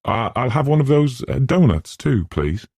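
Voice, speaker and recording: A man's voice: medium pitch, big pitch swings, moderate pace, a little mellow, breathy edge, no room sound, clean studio sound, voice pushed up. A man voicing an anxious, chatty woman from the city in a novel, with tentative, polite manners. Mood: polite curiosity.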